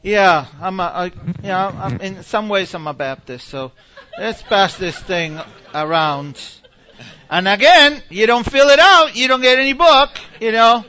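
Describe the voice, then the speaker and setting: A man from Argentina, a visiting preacher teaching informally and taking questions in a halting, animated way that repeats phrases. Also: high voice, lo-fi sound.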